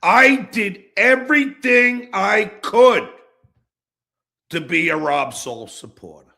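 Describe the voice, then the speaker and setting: A man rants angrily and profanely in a confrontational, emphatic way. His voice sits fairly high and is forceful and sharp, with a creaky edge. He leaves dramatic pauses between phrases, as if addressing a rival directly. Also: lively intonation. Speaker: online show host. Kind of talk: angry personal rant addressed to an absent rival.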